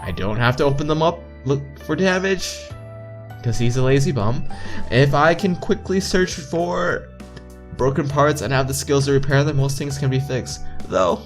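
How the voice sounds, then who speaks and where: A man's voice: medium pitch, expressive, moderate pace. A man reading visual novel text aloud as a let's player, stumbling over lines and repeating words, with joking asides. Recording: game music behind voice.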